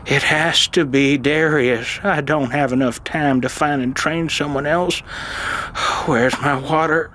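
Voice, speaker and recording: A man playing an irascible, desperate old uncle in an audio drama, urgent and halting as he insists on his truth. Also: medium pitch, sharp tone, slight background noise. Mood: anxious regret. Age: older. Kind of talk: dramatized audio fiction acting.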